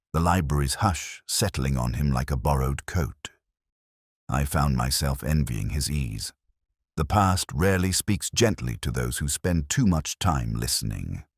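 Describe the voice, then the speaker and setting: A man narrating a scripted first-person detective story in a measured, literary, brooding way. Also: extremely low pitch, no room sound, clean studio sound.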